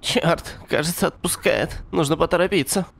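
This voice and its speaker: A man's voice: a little high, normal speed, gravelly, a bit bright. A man voicing a strung-out, shameless young fox in an adult cartoon. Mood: desperate.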